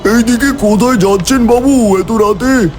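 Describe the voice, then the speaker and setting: A man voicing an eerie, ominous ghostly stranger giving warnings in an animated horror story. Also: very high voice, unhurried.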